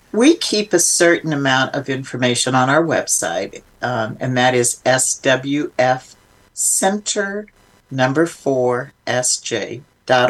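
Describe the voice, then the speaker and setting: An older woman speaking as an interview guest, reflective and emphatic in a conversational way. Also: extremely low pitch. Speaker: interview guest, filmmaker and nonprofit founder.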